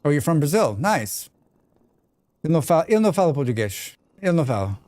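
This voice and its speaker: Man, American accent, casual streamer chat, smooth clear voice, dry close sound. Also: on the high side, very animated, fast talker, a little mellow, no echo. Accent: General American. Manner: rambling, chatty, reads chat aloud. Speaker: live streamer. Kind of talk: casual chat while gaming.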